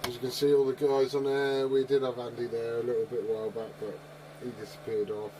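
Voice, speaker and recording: A man's voice: medium pitch, flat delivery, brisk. Man in his forties, noisy radio hiss. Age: 40s. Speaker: CB radio operator and video host. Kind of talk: casual radio chat. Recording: clearly noisy CB radio room ambience.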